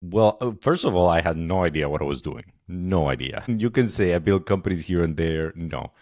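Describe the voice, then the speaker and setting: A middle-aged man with a Colombian accent and a very low, deep voice, speaking as a podcast guest. He tells his story in a candid, self-deprecating, conversational way. The sound is dry and close, with little room to it. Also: animated, moderate pace, a little mellow, no echo. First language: Spanish. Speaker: podcast guest, startup program director.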